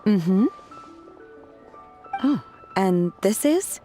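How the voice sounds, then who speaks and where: A woman voicing a calm, reserved young disciple in a video game, polite and matter-of-fact. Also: mid-range voice, very slow, music in the background. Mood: earnest. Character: calm, blunt, reserved young female disciple working as waitress. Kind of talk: video game character acting.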